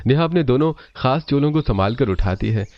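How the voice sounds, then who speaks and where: एक आदमी जो एक कहानी को स्थिर, सधी हुई आवाज़ में सुनाता है।. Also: male voice, mid-range voice, animated, fairly quick, dry recording, lo-fi sound. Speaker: narrator. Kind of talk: scripted story narration.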